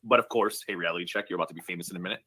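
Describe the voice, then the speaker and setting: A man's voice: deep voice, racing, bright, forceful tone, slightly rough, no room sound. A man giving sarcastic live-stream commentary on online drama.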